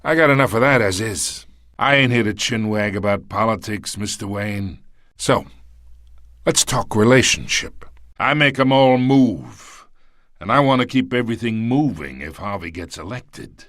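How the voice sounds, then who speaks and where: An actor playing a smug, menacing middle-aged crime boss in a video game, with a streetwise, slangy, taunting delivery. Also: male voice, on the low side, expressive, moderate pace, a bit bright, no room sound, clean studio sound. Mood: smooth, menacing.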